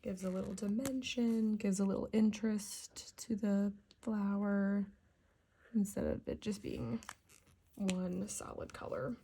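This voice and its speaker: A woman hosting a coloring channel, chatting casually while she works, with a raspy voice and a soft, relaxed, slightly self-conscious delivery that often drops to a half whisper. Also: mid-range voice, a little slow, a little hiss or hum. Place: home.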